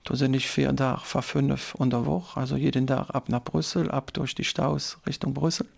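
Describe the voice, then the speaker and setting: A man's voice: medium pitch, level tone, moderate pace, gravelly. A man in his thirties from German-speaking Belgium, a politician guest on a radio show, speaking an East Belgian German dialect and explaining step by step with many hesitation sounds.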